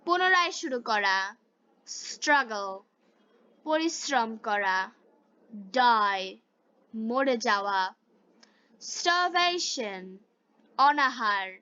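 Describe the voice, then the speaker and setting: A Bengali-speaking woman teaching English, reading phrase by phrase with deliberate enunciation in a repeat-after-me lesson style. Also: a little high, expressive, pushed and bright, clear, resonant tone, muffled recording. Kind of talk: slow read-aloud English lesson with repeat-after-me drills.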